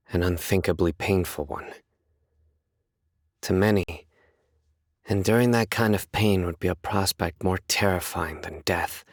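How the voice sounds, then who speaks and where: An actor playing a stern, composed young male judge in a video game, speaking in a measured, serious, deadpan way. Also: low voice, no echo, studio quality. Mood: grave. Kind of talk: scripted game character voice lines.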